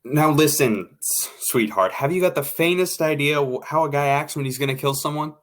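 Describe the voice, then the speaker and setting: A man's voice: fairly high pitch, normal speed, close to an everyday voice. A man acting in an old-time radio drama as an impatient, sarcastic, slangy radio director. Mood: exasperated.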